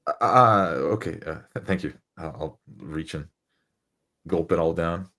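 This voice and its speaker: A man's voice: sits low, unhurried, sharp tone. A man playing a tabletop roleplaying game, casually speaking in character and describing his actions.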